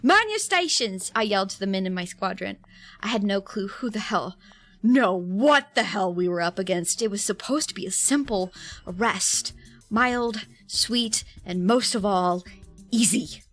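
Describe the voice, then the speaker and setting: Bewildered British police chief, played by a woman, theatrical storybook narration, halting and slow-paced. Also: mid-range voice, expressive, a bit bright, faint music behind. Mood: alarmed, dramatic. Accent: put-on British. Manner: dramatic, stammering storytelling. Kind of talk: dramatic first-person story reading.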